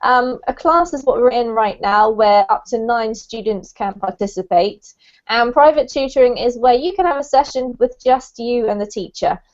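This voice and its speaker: A woman's voice: a little high, lively intonation, normal speed. A woman teaching an online English class, chatty and friendly, with frequent ums, over a video call. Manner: chatty, many ums, laughs often. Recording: dull lo-fi video call audio.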